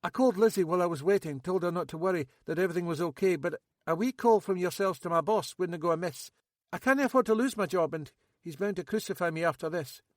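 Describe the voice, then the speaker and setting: A man's voice: sits high, quick pace, dry recording, clean studio sound, pitched above the performer's own voice, lightly acted. Man voicing a jittery Scottish lad. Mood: nervous. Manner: excitable, rambling, self-pitying. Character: jittery battered young man, anxious.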